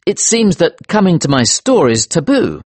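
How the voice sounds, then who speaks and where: A man's voice: on the high side, big pitch swings, moderate pace, slightly rough, dry recording, pitched above the performer's own voice. A man voicing an indignant, impatient British male trader in an audio story, speaking emphatically. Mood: worried.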